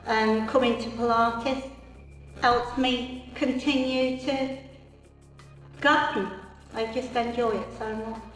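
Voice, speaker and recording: A woman in her sixties giving a gentle, unhurried testimonial with pauses. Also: fairly high pitch, steady tone. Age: 60s. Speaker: class member giving a testimonial. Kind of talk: interview testimonial. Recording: echoey hall from far off, soft music behind.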